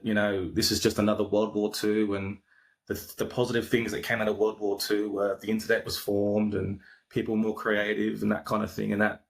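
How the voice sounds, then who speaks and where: An Australian man with a lowish voice, chatting in a relaxed, meandering way. He pauses often with fillers and restarts his sentences as he tells his story. It sounds like a video call. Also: creaky. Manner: laid-back, rambling with frequent fillers and restarts. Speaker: podcaster and coach. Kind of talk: casual interview chat.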